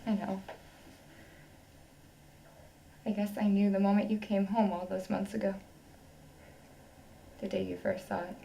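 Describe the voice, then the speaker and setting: An actress playing a wife who fears for her husband, swinging from whispered accusations to shouted anger. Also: mid-range voice, flat delivery, moderate pace, creaky, roomy sound, a little hiss or hum, lightly acted, poor audio. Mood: resigned. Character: worried wife confronting obsessed astronaut husband.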